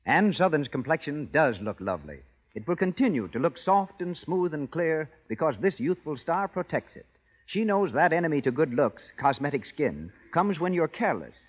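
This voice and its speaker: Husky, lively male radio announcer, vintage. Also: on the high side, moderate pace. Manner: polished, persuasive salesmanship. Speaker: commercial announcer. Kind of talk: 1930s radio advertisement, scripted. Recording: muffled old radio broadcast recording.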